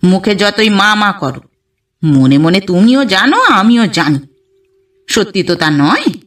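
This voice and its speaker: A woman narrator plays a stern older mother-in-law from a storybook, irritated and self-righteous. She scolds in curt, reproachful phrases at a slow, deliberate pace, heard slightly off the microphone. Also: mid-range voice, big pitch swings, fairly bright tone, far from the mic. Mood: irritated scolding.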